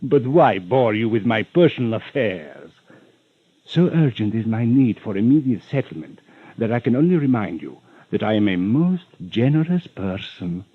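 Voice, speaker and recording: Suave, husky radio villain, swooping pitch. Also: male voice, very animated, normal speed, no echo. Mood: smooth, persuasive. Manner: florid, over-polite, stammering hesitations, chuckling. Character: suave, wheedling rich villain, unctuous and veiled in menace. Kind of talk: 1940s-50s radio drama acting. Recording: muffled old radio recording.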